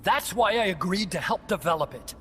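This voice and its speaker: A man's voice: high-pitched, expressive, fairly quick, bright, forceful tone. An actor playing an anxious, indignant male scientist protesting in an agitated way in a video game cutscene. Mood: indignant, pleading. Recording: long hall reverb, faint noise.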